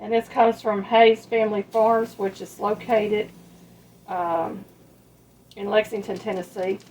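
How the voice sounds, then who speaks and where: A woman chatting casually and in a folksy, unhurried way as she demonstrates home cooking. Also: mid-range voice, little pitch movement, a little mellow, rough recording. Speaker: home cook hosting a recipe video. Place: home kitchen at the stove. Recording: clear background kitchen noise, frying sizzle. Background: sizzling skillet, stirring.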